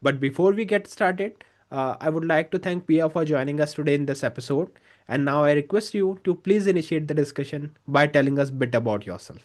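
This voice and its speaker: Higher-pitched male host. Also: a little fast, a little mellow. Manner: polite, formal. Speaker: podcast host. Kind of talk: interview hosting.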